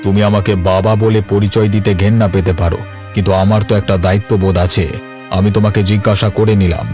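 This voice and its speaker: A man's voice: on the low side, level tone, fast talker, close to an everyday voice. A man playing an embittered, proud old landowner and father in a cartoon, speaking in a dramatic, declamatory way. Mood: coaxing, measured. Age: older. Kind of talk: Bengali cartoon voice acting. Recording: music under the voice.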